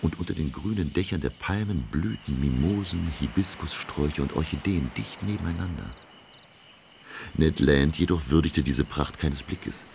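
A man's voice: rumbling low, gravelly, darkish tone, a little hiss or hum. A man playing a refined, reflective professor who narrates his adventure in literary style for a German radio drama. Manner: literary, measured.

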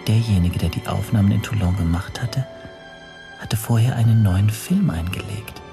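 An anxious, brooding stateless man tells his own story in a radio drama. His voice sits on the low side and he questions himself as he goes. Music plays clearly behind him. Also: moderate pace. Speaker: first-person narrator and protagonist. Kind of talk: German radio drama narration.

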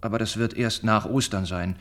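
An actor in a German radio play, playing a lovesick young gentleman, earnest and measured as he voices heartfelt letters. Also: lowish voice, fairly even intonation, natural voice. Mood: tender, longing. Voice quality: husky, breathy.

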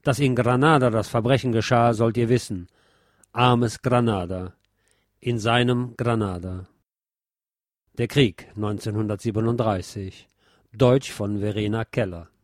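A man giving a scripted literary talk and reciting poetry in a measured, deliberate, declamatory way, like a radio presenter. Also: on the low side, steady tone, no echo, clean studio sound.

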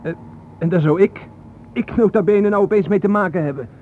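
An actor playing a sceptical, reluctant photographer in a radio play, with a colloquial, questioning manner. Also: male voice, sits high, a little fast, close to an everyday voice. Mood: bewildered, reluctant. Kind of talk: radio drama acting. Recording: noisy, thin phone-like old radio recording.

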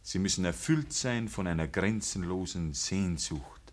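A man's voice: low-pitched, expressive, a little slow. A man playing a pious, unbending village priest in a radio play, preaching in a formal, insistent manner. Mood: fervent, admonishing. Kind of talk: radio play acting, clerical declamation.

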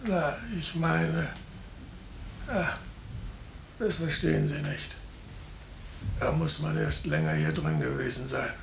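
An actor playing an anxious, resigned hospital patient in a 1950s radio drama, speaking in a hushed, halting, gravelly voice. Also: male voice, fairly high pitch, lively intonation, exaggerated voice. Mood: wistful, brooding. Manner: halting, brooding. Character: seriously ill male hospital patient, anxious, resigned, bitter. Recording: echoey, faintly noisy old radio recording.

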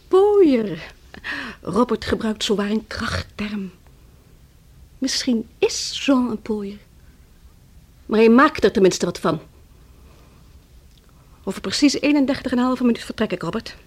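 An actress playing a defiant, scornful wife in a Dutch radio drama, with theatrical delivery. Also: female voice, on the high side, sing-song, slow, pauses often, full character voice. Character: defiant, mocking wife sparring with her husband.

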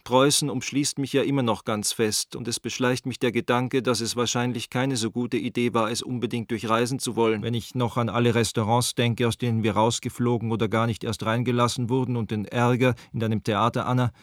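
A man's voice: mid-range voice, flat delivery, brisk, few pauses, no room sound, clean studio sound, lightly acted. An actor playing an apologetic, wryly rueful man from Vienna, reading his letter to friends in a radio drama. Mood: rueful, explanatory.

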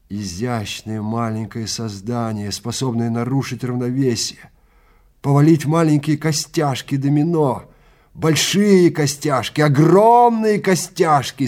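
Slow, dramatic male storyteller. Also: medium pitch, darkish tone. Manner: theatrical, deliberate, with pauses between short phrases. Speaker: narrator and actor. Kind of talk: dramatic literary narration of an audio play.

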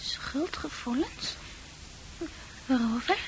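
A woman's voice: sits high, animated, takes it slowly, in a whisper, exaggerated voice, poor audio. An actress playing a fragile young woman in a radio play, pleading and anguished, afraid she is going mad. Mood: uneasy. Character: fragile, frightened young woman who fears madness. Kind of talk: Dutch radio play acting.

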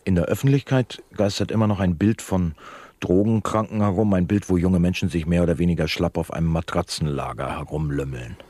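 An actor playing an earnest male radio interviewer in a satirical drama, asking and explaining in a polite, measured manner. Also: low-pitched, steady tone, dark tone, no echo. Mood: earnest, probing. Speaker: radio host.

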